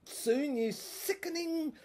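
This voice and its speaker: A woman's voice: sits high, slow. A woman playing a ranting, scheming, power-mad brother and corrupt prosecutor in an audio drama, with a theatrical, manic, rambling delivery. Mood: disgusted, ranting. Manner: theatrical, manic rambling, swinging from shouting to muttering.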